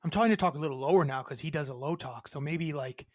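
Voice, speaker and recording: A woman co-hosting a podcast, chatting casually with crude, joking banter and a high voice. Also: quick pace, crowd noise. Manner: crude, jokey banter about football. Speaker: podcast co-host. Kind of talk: casual chat.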